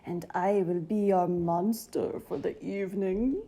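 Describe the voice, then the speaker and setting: A woman voicing a polite, bookish male monster for a children's storybook, with a breathy voice and a formal, courteous manner. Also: a little slow, mellow. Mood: courteous, earnest. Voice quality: low, breathy.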